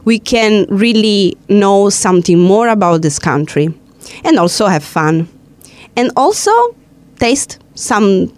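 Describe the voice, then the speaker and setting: A woman's voice: medium pitch, takes it slowly, darkish tone, no room sound. A woman from Italy, hosting a casual interview in a chatty, playful way. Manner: chatty, playful, laughs and teases guests. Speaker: host. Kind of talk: casual radio-style interview.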